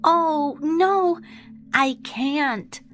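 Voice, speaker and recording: Woman as a high-voiced sweet little storybook girl. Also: drawn-out words, fairly bright tone, soft background music, exaggerated voice. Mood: hesitant. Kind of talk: slow storybook reading for English learners.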